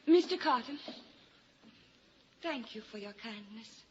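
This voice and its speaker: An actress playing a gentle, anxious, soft-spoken young gentlewoman in a vintage radio drama. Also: a little high, expressive, slow. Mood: distressed, earnest. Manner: polite, soft-spoken, earnest. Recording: very noisy, dull old radio recording.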